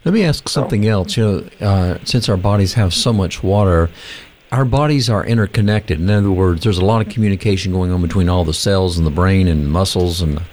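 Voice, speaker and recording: A man with a very low voice hosts a radio talk program. He chats in an easygoing, affirming way, with faint noise in the background. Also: a little fast. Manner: relaxed, conversational, affirming. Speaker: host. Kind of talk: radio interview.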